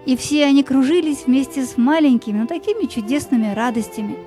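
A woman's voice: sits high, a little fast, dark tone, soft background music. A woman narrator telling a scripted fairy tale as part of an audio play.

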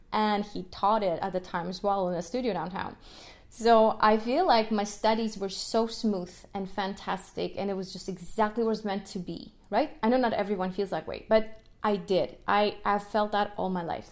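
A young woman from Ukraine, a native Russian speaker, hosts her own podcast in a lively, animated way. Her voice sits at a middle pitch, and she keeps checking in with her listeners and laughing as she talks. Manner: animated, frequent "right?" tags and laughs. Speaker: podcast host, music educator. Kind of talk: solo podcast talk.

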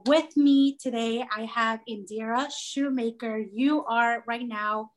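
Twenty-something woman interviewing a guest, bit higher pitched, super excited and gushy. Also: takes it slowly. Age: 20s. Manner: gushing, enthusiastic, warm-hearted praise. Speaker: interviewer host. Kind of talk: casual interview.

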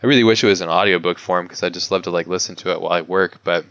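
A man's voice: deep voice, fairly even intonation, very fast, a bit bright, clear, resonant tone, no echo, lo-fi sound. A man in his thirties hosting a podcast interview, rambling with frequent restarts and laughs. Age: 30s. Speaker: podcast host. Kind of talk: casual interview chat.

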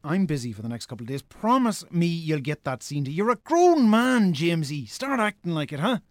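Man playing exasperated brother, Irish accent, fairly high voice, swooping intonation, impatient, dry close sound. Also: sing-song, brisk, no room sound. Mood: exasperated, scolding. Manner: scolding, impatient. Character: exasperated, brisk brother of a drunken farmer. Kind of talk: naturalistic radio drama acting.

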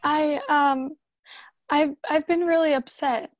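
An actress playing a tender, forgiving, emotional young wife in a radio drama. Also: female voice, high voice, fairly even intonation, slow, no echo, muffled recording, faint music behind. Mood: upset, sincere.

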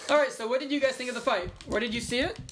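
A young man rattles off quick, sarcastic quips while ribbing his friends on a podcast. There is steady noise behind him. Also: very high voice. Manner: sarcastic, teasing. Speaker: podcast co-host. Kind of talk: casual chat.

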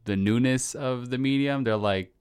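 A man's voice: medium pitch, moderate pace, a little mellow, clean tone. A young man co-hosting a podcast, chatting casually, swearing offhandedly and acting out his own thoughts. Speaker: podcast co-host. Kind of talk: casual chat.

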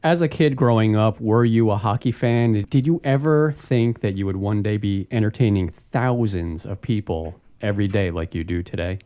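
Low warm-voiced deacon hosting radio. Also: male voice, moderate pace, few pauses. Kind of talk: radio interview.

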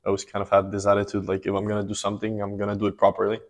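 A man in his twenties, born in Ecuador and raised in Miami, answering interview questions calmly and matter-of-factly. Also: lowish voice, racing. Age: 20s. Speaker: guest, race car driver.

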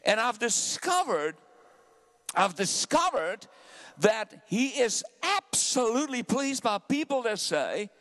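A South African man preaching a sermon to his church, dramatic, dropping to hushed asides and then climbing loudly. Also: very high-pitched, big pitch swings, slow. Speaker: pastor.

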